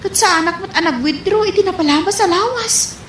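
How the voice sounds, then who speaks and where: A woman's voice: extremely high pitch, a little fast, fairly bright tone. A woman playing a flustered, defensive wife and dutiful daughter in a radio comedy drama, with an Ilocano accent. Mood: worried. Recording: echoey, faint background noise.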